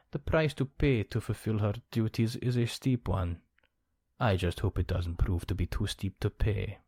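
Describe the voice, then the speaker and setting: A man's voice: fairly low pitch, darkish tone, no echo, clean studio sound. A man playing a suave, gossipy, scheming count in an ASMR roleplay, speaking in a genteel, theatrical manner. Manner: genteel, theatrical, arch phrasing.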